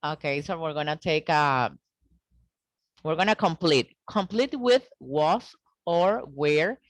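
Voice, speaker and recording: A woman teaching an English grammar lesson over a video call, explaining slowly and checking in with "okay?" often. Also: low-pitched, animated, dry recording, clean studio sound. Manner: slow, deliberate explaining with frequent "okay?" checks.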